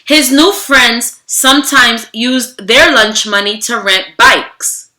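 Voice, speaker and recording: A woman with a fairly high, bright voice speaks as a teacher reading aloud to children. She takes her time and is theatrical and expressive, addressing her listeners directly. The room adds a noticeable echo. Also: takes it slowly. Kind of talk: children's read-aloud with animated greeting. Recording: roomy, echoing room sound.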